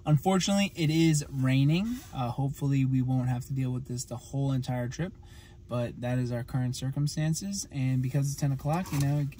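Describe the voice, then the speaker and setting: A man's voice: medium pitch, fairly even intonation, brisk, dark tone, a little hiss or hum. A young man in his twenties casually narrating a road trip vlog, explaining things as he films from the car and outdoors. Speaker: vlogger. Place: inside a car at night, then outdoors at a charging station in the rain.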